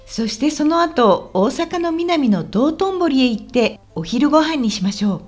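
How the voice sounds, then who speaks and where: Lady acting as a friendly helpful local guide in a learner radio drama, high lilting voice, super clear and polite. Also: sing-song, measured pace, a little mellow. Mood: explaining. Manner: polite, explanatory, clearly enunciated. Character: friendly, helpful woman guiding a visitor around Osaka. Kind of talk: scripted language-lesson radio drama.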